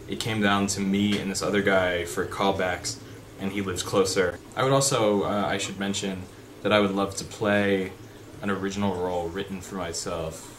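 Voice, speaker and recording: A young man with a deep voice chatting unscripted in a vlog, rambling with fillers and restarts. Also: fairly bright tone. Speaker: vlogger. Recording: background noise.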